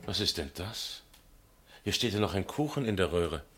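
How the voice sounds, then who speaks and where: A man playing a methodical police inspector in a radio crime drama, questioning witnesses and reasoning aloud deliberately in a husky voice. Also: deep voice, expressive, heavy background noise.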